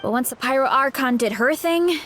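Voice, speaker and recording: Giggly, high-voiced young warrior. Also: female voice, normal speed, fairly bright tone. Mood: easygoing. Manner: easygoing, giggly, a little absent-minded. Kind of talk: game voice acting. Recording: game audio with faint music.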